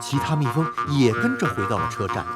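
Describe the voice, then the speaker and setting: A man narrating an animated folk tale in an expressive, scripted storytelling style. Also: medium pitch, fairly quick. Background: music.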